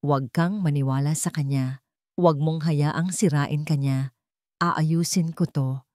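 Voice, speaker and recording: A woman's voice: sits low, fairly even intonation, a little slow, darkish tone, no room sound, clean studio sound. A Filipino woman voicing a protective, hot-tempered man in a story, intense and commanding.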